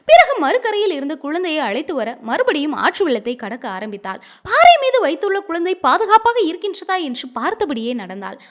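A woman storyteller narrating a scripted moral tale in a dramatic, expressive manner. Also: high-pitched.

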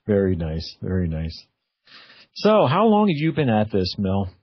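A man's voice: fairly low pitch, huge pitch swings, a little slow, breathy edge, no echo. A man from the United States hosting a radio show, introducing and interviewing a guest. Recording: slightly dull, lo-fi audio.

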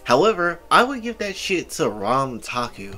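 A man in his early twenties hosting a casual anime art commentary, rambling with repeated filler phrases and sudden loud outbursts. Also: on the high side, sing-song, moderate pace, faint music behind. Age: 20s. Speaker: channel host.

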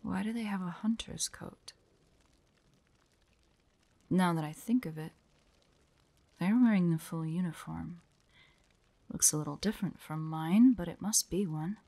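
A woman voicing a guarded, proud young hunter in an audio roleplay, terse and prickly, often dropping into hushed, breathy asides. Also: fairly low pitch, a little slow, pauses often, dry recording. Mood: wary, puzzled. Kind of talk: dramatic audio roleplay, addressed to an unheard listener.

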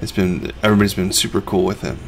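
A man's voice: low voice, level tone, fast. A middle-aged man, a reseller who hosts a vlog, narrating over his footage in a laid-back, conversational way.

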